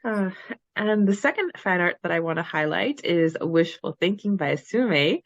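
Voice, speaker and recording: A woman's voice: on the low side, animated, normal speed, no echo, lo-fi sound. A woman co-hosting a podcast in casual, chatty talk, prone to giggling. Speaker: podcast co-host. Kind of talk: casual chat about a fan fiction.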